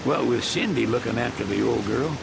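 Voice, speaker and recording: An actor playing a weathered older mechanic from a video game, folksy and unhurried, with a gravelly American Southern accent. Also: male voice, mid-range voice, loud music behind the voice, low-quality recording. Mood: wistful, fond. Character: weathered old mechanic, plainspoken, wistful Southern man. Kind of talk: video game cutscene acting.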